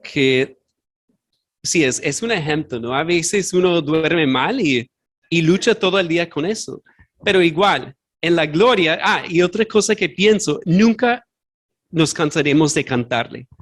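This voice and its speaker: High-voiced pastor preaching, non-native Spanish. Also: male voice, lively intonation, measured pace, a bit bright. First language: not Spanish. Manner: earnest, searches for words and corrects himself aloud. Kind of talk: sermon. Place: church sanctuary.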